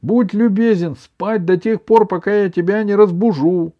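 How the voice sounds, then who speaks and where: A man's voice: high voice, fairly even intonation, moderate pace, mellow, lo-fi sound, pitched above the performer's own voice, lightly acted. A man voicing a bossy, doting old grandmother in a children's story, with an emphatic, plaintive, scolding delivery. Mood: indignant, bossy.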